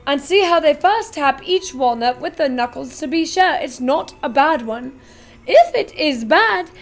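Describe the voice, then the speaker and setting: A woman reading aloud and voicing an eccentric, excitable male chocolate factory owner who talks loudly and briskly. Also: sits high. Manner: loud, brisk and commanding.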